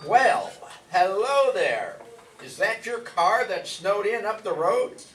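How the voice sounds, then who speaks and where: A man's voice: sits high, sing-song, takes it slowly, noisy background, full character voice. A man playing a kindly, folksy older farmer with grown children in an old-time radio drama. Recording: roomy, distant mic, faint music behind.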